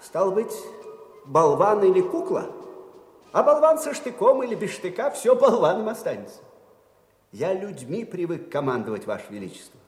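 Proud old commander, echoing hall. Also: male voice, sits high, very animated, normal speed, a little mellow, soft background music. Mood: defiant. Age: older. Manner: defiant, plain-spoken, emphatic. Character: proud, stubborn old Russian army commander. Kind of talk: theatrical historical radio drama acting. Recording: echoey reverberant hall.

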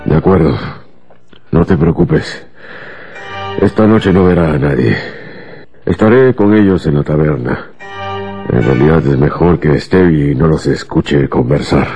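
An actor playing a tired, placating middle-aged husband in a radio drama. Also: male voice, a bass voice, lively intonation, measured pace, pauses often, dark tone, soft background music, exaggerated voice. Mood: reassuring. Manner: tired, subdued. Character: weary middle-aged family man, secret agent, placating.

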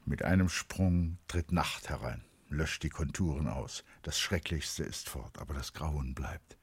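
An actor as a grave, brooding narrator of a literary radio drama, with a husky, breathy voice. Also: male voice, extremely low pitch, unhurried, dry recording, heavily acted. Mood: brooding, hushed.

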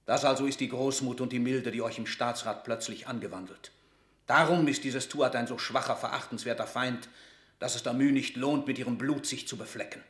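A man's voice: medium pitch, fairly even intonation, a little fast, fairly bright tone, roomy sound, lightly acted. A man playing a cold, sarcastic statesman in a classical radio drama, with formal, commanding and cutting declamation. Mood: bitter sarcasm. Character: stern, cold, sarcastic male royal statesman.

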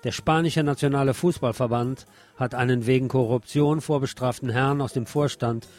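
A man's voice: mid-range voice, steady tone, normal speed, darkish tone. A man hosting a radio show, reading a scripted literary essay in short clipped phrases with a sardonic, theatrical delivery. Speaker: radio host. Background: music.

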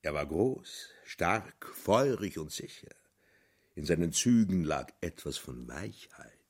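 A man narrating a literary radio play in a deliberate, emphatic manner. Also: deep voice, sing-song, husky, full character voice.

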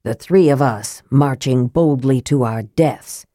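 A woman voicing a grim, caustic young male wizard in an audiobook, slow and hushed with bitter deliberation. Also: extremely low pitch, husky, no room sound, studio quality, pitched below the performer's own voice, heavily acted. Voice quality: hushed, whispery. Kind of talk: audiobook character acting.

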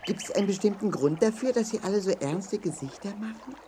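An actor playing a friendly, sensible and polite leader of travelling companions in a children's audio play, expressive and clearly spoken. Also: medium pitch, fast talker, close to an everyday voice. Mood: polite, curious. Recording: faint noise with birdsong.

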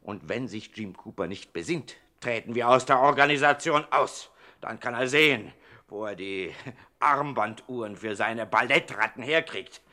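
Male actor: quarrelsome pickpocket, indignant, emphatic, forceful bright tone, 1960s radio comedy gangster. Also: medium pitch, normal speed, natural voice. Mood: defiant. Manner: blustering, emphatic, repeats himself. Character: indignant, grumbling small-time pickpocket gangster, proud, quarrelsome.

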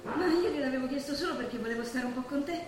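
Actress doing a sick young roman girl in a stage play, tender and scared, high voice, super echoey. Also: fast, far from the mic, close to an everyday voice. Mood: fearful pleading. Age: young adult. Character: gravely ill young woman, tender, fearful, despairing sweetheart. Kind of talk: naturalistic stage drama acting. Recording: very echoey theatre hall, faint noise.

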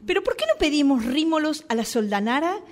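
Woman acting a high-voiced genteel Argentine diner. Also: big pitch swings, brisk, background noise. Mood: genteel, picky. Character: picky, genteel lady diner, companion to her friend. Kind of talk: comic radio theatre acting.

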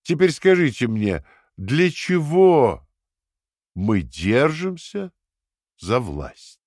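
An actor playing a calm, condescending party interrogator who lectures in a measured, fanatical way in an audio drama. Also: male voice, mid-range voice, dry recording, studio quality, heavily acted. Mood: condescending, lecturing. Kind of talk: dramatic audio play acting.

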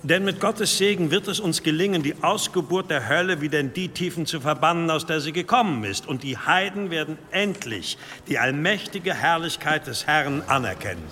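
Solemn monk in a reverberant hall. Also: male voice, on the high side, lively intonation, moderate pace, few pauses, sharp tone, soft background music. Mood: grave, solemn. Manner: formal, grave, unctuous toward superiors. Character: solemn, deferential monk preaching of a demon. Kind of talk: radio drama oratory. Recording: long church-like reverb, faint noise.

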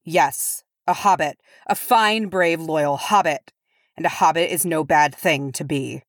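A woman voicing a proud, brooding male dwarf warrior in a storybook, earnest and solemn, with a low voice. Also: measured pace, dry recording, studio quality. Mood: bristling.

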